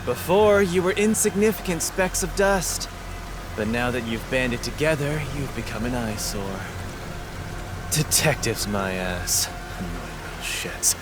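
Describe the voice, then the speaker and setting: A man's voice: mid-range voice, unhurried, loud music behind the voice. An actor playing a cruel, mocking male city director in a video game dub, sneering and taunting. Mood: contemptuous. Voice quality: sneering, taunting, swings between calm and shouting.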